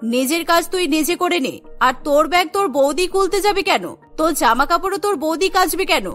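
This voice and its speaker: A woman's voice: very high-pitched, expressive, brisk, fairly bright tone, faint music behind. A woman voicing a stern, protective middle-aged mother-in-law in a cartoon story, speaking firmly and emphatically. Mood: firm, bossy. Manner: firm, scolding, emphatic. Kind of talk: Bengali cartoon story acting.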